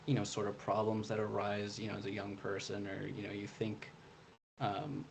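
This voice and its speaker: Low-pitched young man, halting delivery. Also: monotone, creaky, a little hiss or hum, low-quality recording. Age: young adult. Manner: hesitant, filler-heavy explaining with many "you know". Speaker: debut author being interviewed. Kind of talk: casual interview answers.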